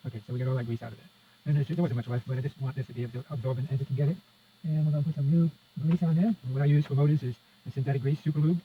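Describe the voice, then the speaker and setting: A man's voice: mid-range voice, steady tone, racing, husky. A man giving a casual hands-on repair demonstration, muttering step by step as he works. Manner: muttering step-by-step commentary to himself and viewer. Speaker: presenter of a radio repair how-to. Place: indoors at a repair workbench. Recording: dull lo-fi sound with faint noise.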